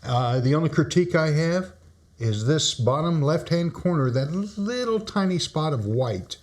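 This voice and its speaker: A man's voice: fairly high pitch, expressive, normal speed, darkish tone. A man casually reviewing a friend's photos, encouraging and giving short critiques. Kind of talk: casual chat.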